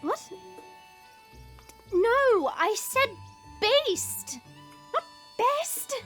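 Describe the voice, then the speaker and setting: Haughty dragon princess, played by a woman: high voice, formal and scornful of humans, audio roleplay with music underneath. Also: sing-song, very slow, a bit bright, full character voice. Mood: irritated.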